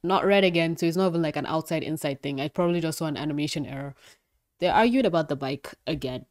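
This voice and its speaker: A young woman with a stuffy, nasal voice, chatting through a cartoon review. She gushes and gets excitable, tossing in the odd swear word, her voice sitting a little low. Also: quick pace. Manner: gushing, excitable, swears freely. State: congested, nasal. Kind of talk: casual review commentary.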